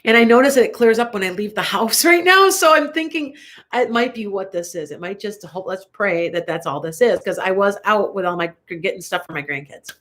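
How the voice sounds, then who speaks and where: An older woman with a Chicago accent gives a live tarot reading from home. She talks in a loose, rambling, chatty way and laughs often. Her voice sounds a little congested, with an occasional cough, at a middle pitch. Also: expressive, a little fast, fairly bright tone. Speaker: tarot reader. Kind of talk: live-stream tarot reading answering viewer comments.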